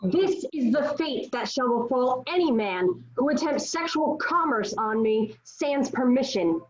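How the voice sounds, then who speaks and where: Woman doing a stern young warrior maid rallying troops, high voice, dull lo-fi recording. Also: animated, measured pace, a little hiss or hum. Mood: stern defiance. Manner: declamatory, stern, formal. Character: fierce, commanding nineteen-year-old female warrior saint. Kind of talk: stage play monologue, table read.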